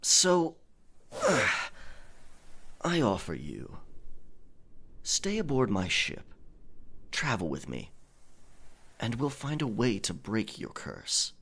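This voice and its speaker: A man playing a roguish, gallant pirate captain in an ASMR audio roleplay, with a husky, breathy voice and dramatic, unhurried delivery. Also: medium pitch, wildly swooping pitch, heavily acted. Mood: persuasive, bold. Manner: slow, dramatic, hushed at times.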